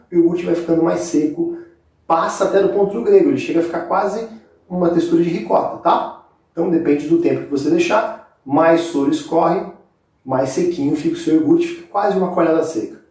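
The sound is strongly reverberant, picked up a little away from the microphone in an indoor kitchen. A Brazilian man with a mellow, mid-pitched voice hosts a cooking lesson. He talks informally and directly to viewers, walking them through each step. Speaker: cooking show host. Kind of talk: casual step-by-step recipe demonstration. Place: indoor kitchen set with brick wall. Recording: very echoey room, distant microphone.

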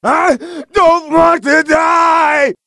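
Fighting game villain: massive, dim, cruel muscle-man. Gravelly growls and shouts, very slow broken phrasing, close dry sound. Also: very high-pitched, steady tone, no echo, heavily acted. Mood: furious, desperate. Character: huge, brutish, sadistic bald muscle-bound male fighter, crude and vengeful. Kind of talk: over-the-top anime fighting game battle lines.

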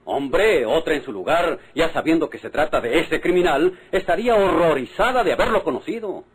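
A man's voice: fairly high pitch, lively intonation, a little fast, natural voice. An actor playing a hot-tempered, impatient Mexican father and husband in a melodramatic old radio serial. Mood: exasperated. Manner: exasperated, melodramatic. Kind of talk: golden-age radio drama acting. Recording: noisy old radio recording.

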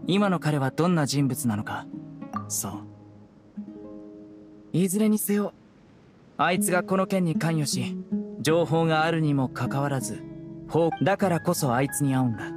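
A man's voice: fairly high pitch, lively intonation, moderate pace, pauses often, natural voice. Stern young judge over music, a man acting. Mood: pensive, resolute. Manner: grave, restrained, matter-of-fact. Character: stern, composed young male judge and investigator. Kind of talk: Japanese game voice acting. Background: plucked-string background music.

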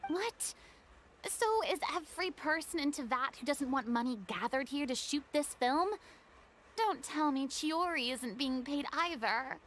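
A woman's voice: sits high, measured pace, a bit bright, a little hiss or hum. A woman voicing a proud, theatrical young woman in a game, grandiose and boastful. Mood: haughty. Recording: game audio with music behind.

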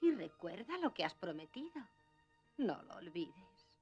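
A kindly, lively old grandmother. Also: fairly low pitch, measured pace, slightly rough, dull audio, faint music behind, heavily acted. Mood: tender farewell. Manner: affectionate, composed. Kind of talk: dubbed cartoon acting.

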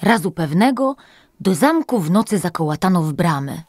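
A woman's voice: fairly low pitch, big pitch swings, normal speed, a little mellow, dry recording. A woman reading scripted fairy-tale narration.

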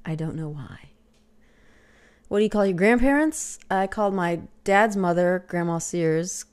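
A woman's voice: lowish voice, measured pace. Chatty woman with a light Texas accent. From Texas, USA. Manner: chatty, self-deprecating, filler-heavy, drops to whispers. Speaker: vlogger. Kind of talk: casual webcam vlog. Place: small home room with shelves, indoors. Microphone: webcam at desk.

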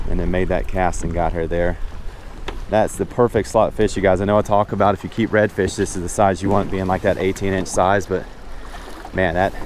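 American guy chatting casually while fishing on a boat, lowish voice, folksy, bit of background noise. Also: a little mellow. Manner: folksy, chatty, addresses viewers. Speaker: fishing vlogger. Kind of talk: casual first-person fishing commentary. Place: outdoors on a small fishing boat at sea. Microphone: action camera worn on body. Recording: outdoor noise on open boat.

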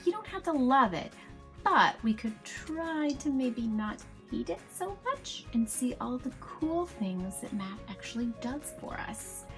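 A woman in her thirties giving an expressive picture-book read-aloud, animatedly addressing children with questions and asides. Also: a little high, unhurried, faint music behind.

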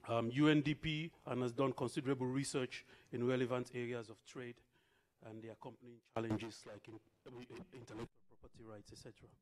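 A man's voice: medium pitch, level tone, brisk. Guy at a podium reading panelist intros off notes, formal, holding a mic. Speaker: moderator. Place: conference hall. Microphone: handheld microphone.

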